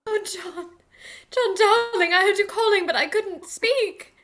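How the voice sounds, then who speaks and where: Woman playing a high-voiced melodramatic young heroine. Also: unhurried, a bit bright. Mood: tender relief. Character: breathless, tender young woman rescued from a vampire. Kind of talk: old-time radio play acting.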